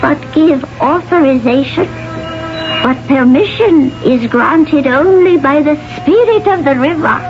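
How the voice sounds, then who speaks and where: A solemn old sorceress. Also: female voice, high voice. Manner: slow, chanting, archaic speech. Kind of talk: 1970s radio drama acting. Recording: muffled 1970s radio broadcast with music.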